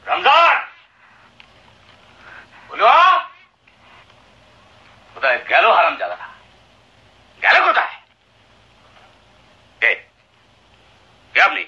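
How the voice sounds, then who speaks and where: An actor playing a cruel, hot-tempered husband in a radio drama, shouting menacingly and barking repeated short commands. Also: male voice, fairly high pitch, huge pitch swings, very slow, long pauses, husky, heavily acted. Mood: angry, suspicious. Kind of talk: Bengali radio drama acting. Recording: dull lo-fi old radio drama recording.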